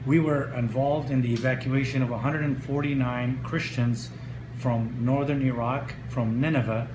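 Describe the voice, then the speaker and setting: A middle-aged man from Lebanon and Egypt, speaking earnestly in a news interview, often pausing on uh. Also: medium pitch. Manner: earnest, with frequent uh fillers. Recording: echoey room with steady noise.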